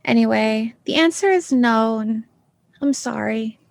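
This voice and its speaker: A woman's voice: a little high, unhurried, creaky. A woman playing a frank, self-possessed schoolgirl in a comedy radio play, earnest and polite but blunt. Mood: politely firm.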